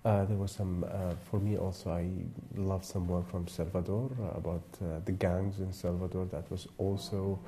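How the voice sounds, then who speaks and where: A man from Iraq, a photographer serving on a jury, giving thoughtful, halting interview answers with many fillers and restarts. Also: sits low, level tone, mellow, a little hiss or hum.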